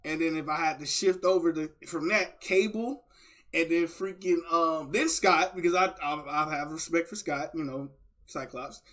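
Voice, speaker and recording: High-voiced, bright, excitable man streaming. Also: moderate pace. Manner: rambling, slangy, excitable fan talk. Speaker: streamer reacting to game voice lines. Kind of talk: casual livestream chat.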